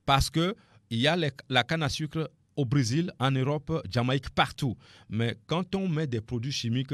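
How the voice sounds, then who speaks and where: A man's voice: fairly low pitch, darkish tone, no room sound, clean studio sound. A man from Togo speaking as a nutrition guest on a sports radio show, giving emphatic, repetitive advice to listeners with many hesitations. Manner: emphatic, repetitive, preaching tone with frequent fillers. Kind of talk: radio talk, advising listeners.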